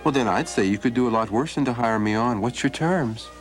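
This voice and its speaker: A man's voice: medium pitch, brisk, over music, voice pushed up, close to an everyday voice. A man voicing a cheerful young farmhand who turns hot-tempered, with a folksy way of talking. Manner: folksy storytelling speech.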